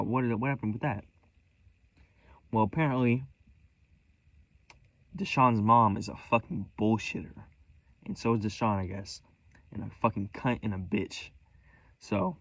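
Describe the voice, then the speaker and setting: A man's voice: medium pitch, expressive, moderate pace, gravelly, pauses often, dry recording. Man ranting in a dry close recording. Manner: profane, scattered, many restarts; self-aware, comments on own spiraling. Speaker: vlogger. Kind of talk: rambling storytime rant.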